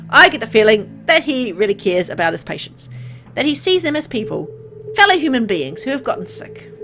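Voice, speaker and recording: A woman reading a horror visual novel aloud with expressive narration and casual asides to viewers. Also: fairly high pitch, a little fast, soft background music. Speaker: let's play reader.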